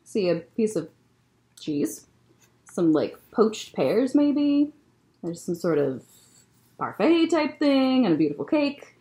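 A woman's voice: mid-range voice, sing-song, slow pace, pauses often, dark tone. A young woman hosting a children's story time, reading a picture book aloud expressively with casual asides. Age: young adult. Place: library room lined with bookshelves, indoors.

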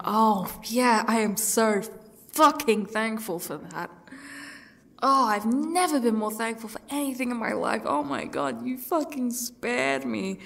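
Woman acting a husky, defiant captive. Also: a little high, fairly bright tone, full character voice. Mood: sarcastic, angry. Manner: sardonic, sweary, breathy half-whispers. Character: defiant, sarcastic captive woman enduring torture. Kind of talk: ASMR roleplay monologue.

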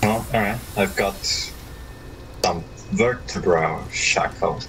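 Deep-voiced man chatting over distant voice chat. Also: slow, fairly bright tone, a little hiss or hum. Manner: easygoing, slangy banter. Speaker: co-op gaming partner. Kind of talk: casual co-op gameplay chat.

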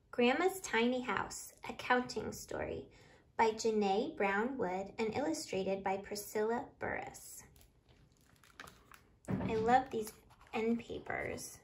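A woman's voice: on the high side, slight background noise, poor audio. A woman in her thirties hosting a children's storytime, speaking expressively to young listeners in a living room, a few feet from the camera. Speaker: library storytime host. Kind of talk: children's picture book read-aloud. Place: small living room by a window.

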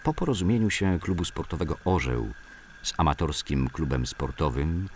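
A man's voice: extremely low pitch, animated, moderate pace, a little mellow, a little hiss or hum. A man reads scripted memoirs as a documentary narrator. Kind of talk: scripted documentary narration of sports-club recollections.

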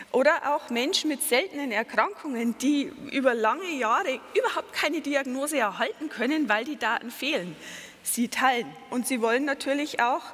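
A middle-aged woman from Lower Bavaria with a Bavarian accent, a patient advocate giving a conference talk on a headset mic, earnest and explanatory, with many fillers and self-corrections. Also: fairly bright tone, long reverb tail. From Lower Bavaria, Germany.

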